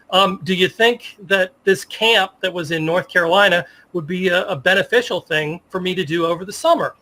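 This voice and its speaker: A man's voice: high-pitched, bright, forceful tone. An American man in his fifties telling stories in a casual interview, with an enthusiastic, reminiscing manner. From Virginia, United States. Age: 50s. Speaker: interview guest, sports media professional.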